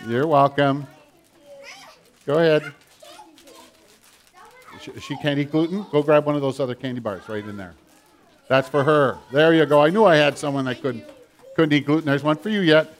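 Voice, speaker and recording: An older man preaching a sermon with a children's message, animated and storytelling with a big voice. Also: on the high side, normal speed, long pauses.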